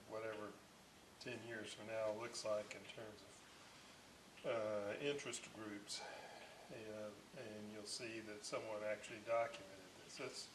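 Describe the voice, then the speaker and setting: A man talks slowly and casually through workshop details, pausing and wandering as he explains. His voice sits at a middling pitch, picked up from a distance in a roomy space with steady noise behind it. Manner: halting, rambling, reflective explanation. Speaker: host of a radio restoration channel.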